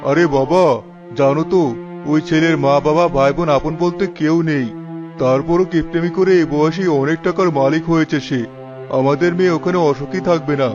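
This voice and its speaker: A man's voice: a little high, steady tone, a little fast, dull audio, over music, lightly acted. A man voicing a stubborn middle-aged village father in a Bengali cartoon, speaking firmly and dismissively. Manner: firm, dismissive.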